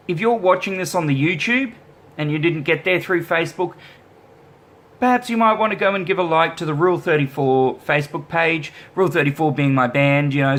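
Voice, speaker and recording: A man with a somewhat high voice chats loosely in a casual vlog. He is easygoing and self-deprecating, and his talk rambles with fillers as he answers viewer questions about his band. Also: fairly bright tone. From Australia. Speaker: musician vlogger.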